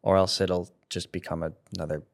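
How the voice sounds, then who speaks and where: A man's voice: deep voice, level tone, brisk, creaky. A man in his thirties talking casually as an interview guest, rambling and restarting his sentences, often laughing. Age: 30s. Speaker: content creator guest. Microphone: studio mic with headphones.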